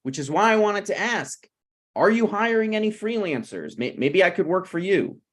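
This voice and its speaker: A man's voice: sits high, sing-song, moderate pace. A man in his thirties at a script table read, playing a needy, prickly struggling screenwriter who talks in a pressing, conversational way. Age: 30s.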